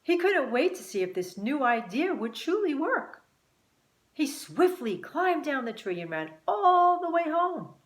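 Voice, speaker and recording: A woman reading a children's story expressively and deliberately, with dramatic pauses. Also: high voice, very animated. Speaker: author and teacher reading own book. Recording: roomy, echoing room sound.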